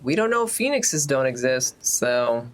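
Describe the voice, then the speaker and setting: A man's voice: mid-range voice, big pitch swings, moderate pace. A man chatting casually as a player in a tabletop roleplaying game, wry and agreeable. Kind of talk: casual tabletop actual-play chat.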